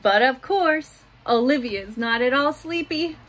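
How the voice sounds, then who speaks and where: A woman in her thirties reading a children's picture book aloud in simple, deliberate phrases, adding little asides for young listeners. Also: high-pitched, expressive, a bit bright. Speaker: school staff member reading aloud to pupils. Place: small home office room, indoors. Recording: noticeable room noise behind voice.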